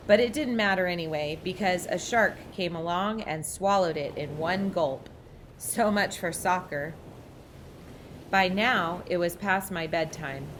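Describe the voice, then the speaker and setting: A woman reading a children's picture book aloud with expressive narration. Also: on the low side, slight background noise. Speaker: storybook reader.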